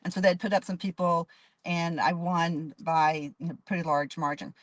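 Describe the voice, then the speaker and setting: A woman's voice: lowish voice, flat delivery, moderate pace, dry recording. A woman in her fifties from Dallas, a judge running for office, giving earnest, detailed interview answers with frequent restarts. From Dallas, Texas. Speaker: judge and candidate.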